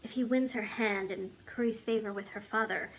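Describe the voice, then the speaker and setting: A woman voicing a loyal, determined woman, a king's wise old friend, in earnest, measured storybook dialogue. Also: medium pitch, steady tone, a little hiss or hum, natural voice, rough recording. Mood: grave, informative.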